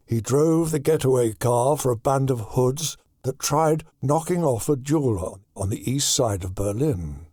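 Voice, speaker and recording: Man, audiobook narration, horror drama, slow deliberate delivery, medium pitch, dry sound. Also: dark tone, no echo.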